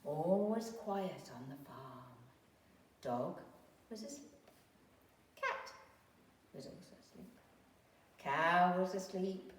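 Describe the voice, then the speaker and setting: A woman reading a storybook aloud to young children like an infant school teacher, with expressive, drawn-out storytelling. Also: fairly low pitch. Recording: roomy, distant microphone.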